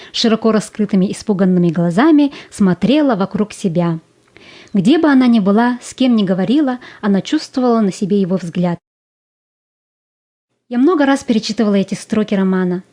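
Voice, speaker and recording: A woman's voice: mid-range voice, expressive, fairly quick, dark tone, lightly acted. A woman acting a dramatic audio-play monologue as a romantic Soviet school-leaver, nostalgic and earnest. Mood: dreamy, earnest. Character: dreamy romantic Soviet school-leaver girl recalling summer 1941.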